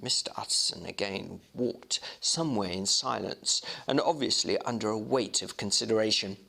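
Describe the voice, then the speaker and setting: A man's voice: on the low side, normal speed, vocal fry, pushed and bright, poor audio. A man narrating a classic novel and explaining it informally, like a literature guide. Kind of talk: storybook narration and informal commentary.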